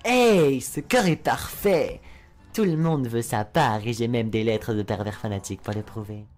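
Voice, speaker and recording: A woman's voice: rumbling low, a little slow, a little mellow, soft background music. A woman voicing a crude, flamboyant male spider demon with a sarcastic, lewd, mocking drawl in a fan dub. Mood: sneering.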